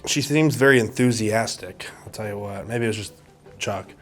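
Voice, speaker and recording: A man's voice: fairly low pitch, moderate pace, music in the background. A young man in casual conversation as a contestant on a dating show. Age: young adult. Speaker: blind date contestant. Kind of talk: casual chat.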